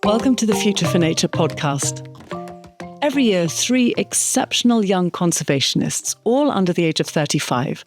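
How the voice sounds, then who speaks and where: A narrator reading a scripted podcast introduction in a measured, polished way. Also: medium pitch, lively intonation, music in the background.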